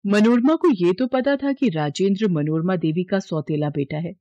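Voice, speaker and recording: A woman's voice: on the low side, dark tone, dry recording. A woman reading a scripted dramatic story as its narrator.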